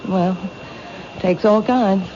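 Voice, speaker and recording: A reserved, courteous woman shopkeeper with a very mellow, dark voice. She comes through muffled, with faint noise, like an old radio play. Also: mid-range voice, extremely slow. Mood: shy, guarded. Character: reserved, polite woman bookshop owner. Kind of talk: radio drama acting.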